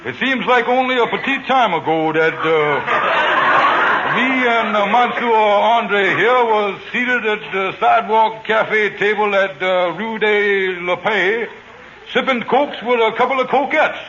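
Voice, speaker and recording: An actor playing a boastful, scheming con man in an old radio comedy, talking smoothly and mangling French words. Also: high voice, slow. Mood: pompous. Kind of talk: 1940s radio comedy acting. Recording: dull old radio broadcast with faint hiss.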